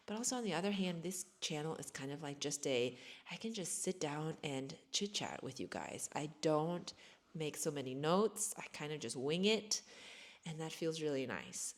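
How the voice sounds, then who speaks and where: An American woman in her thirties chatting casually to camera from her sparsely furnished home, rambling and self-deprecating. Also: low voice. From United States. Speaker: vlogger.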